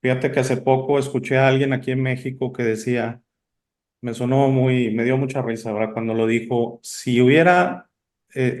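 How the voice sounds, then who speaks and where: A man born in Italy hosting a casual interview, talking in a chatty, rambling way with many fillers and restarts. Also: medium pitch. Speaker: interview host.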